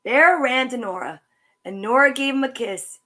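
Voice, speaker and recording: A woman hosting a children's story time, reading picture books aloud with chatty asides in a sing-song, animated way. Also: high-pitched, moderate pace.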